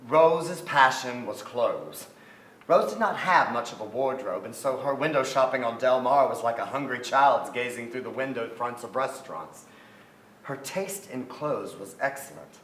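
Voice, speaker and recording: Reflective playwright male actor, forties, deliberate and literary, staged reading in a reverberant theatre. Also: medium pitch, lively intonation, a bit bright, close to an everyday voice. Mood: fond, reflective. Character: reflective Southern playwright remembering his beloved troubled sister. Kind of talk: dramatic staged reading of memoir. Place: theatre stage before an audience. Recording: echoey theatre stage, noticeable noise.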